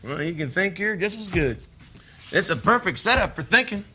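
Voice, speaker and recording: A cocky, hot-tempered drifter and older brother in a stage drama. His American voice is high for a man and extremely bright and forceful. He talks in a folksy, ungrammatical way, boasting and bullying. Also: sing-song, moderate pace, a little hiss or hum, exaggerated voice. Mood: cocky, menacing. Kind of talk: filmed stage play acting.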